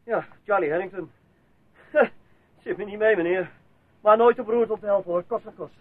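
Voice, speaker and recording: High, husky, hapless drifter. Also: male voice, moderate pace, no room sound, narrow, tinny audio. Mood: earnest, eager. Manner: deferential, colloquial, protesting his innocence. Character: down-on-his-luck, eager-to-please male drifter. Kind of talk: radio drama acting. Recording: thin, band-limited old radio play recording.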